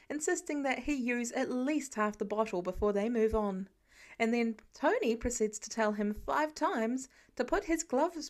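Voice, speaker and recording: A woman reading a scripted story aloud as an audiobook narrator. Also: fairly high pitch.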